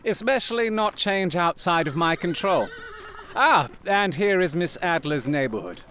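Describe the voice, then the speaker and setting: A man playing a shrewd, commanding gentleman detective in an audio drama, speaking formally and imperiously. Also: sits high, lively intonation, a little slow, slight background noise. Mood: brisk, assured.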